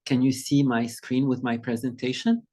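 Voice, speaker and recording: A middle-aged man from Lebanon, a pediatric endocrinologist, giving an informal presentation over a video call in a measured, conversational way, often pausing to find his words. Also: medium pitch, little pitch movement, warm tone.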